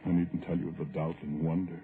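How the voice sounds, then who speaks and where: A man's voice: deep voice, flat delivery, fast talker, faint music behind. An actor playing a guilt-ridden, grieving man who narrates his ghost story in a solemn, literary radio drama style. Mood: anguished, brooding. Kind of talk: vintage radio horror drama acting. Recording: noisy, narrow-band vintage radio recording.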